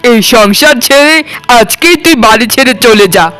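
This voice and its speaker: A man's voice: extremely high pitch, animated, normal speed, sharp tone, smooth, full character voice. A man playing a furious, domineering husband and protective brother in a cartoon melodrama, accusing and commanding. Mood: furious, scolding. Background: background music.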